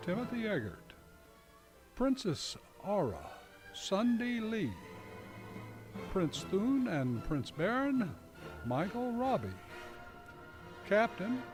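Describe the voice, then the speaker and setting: A man reading scripted credits as a radio announcer. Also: high voice. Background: music.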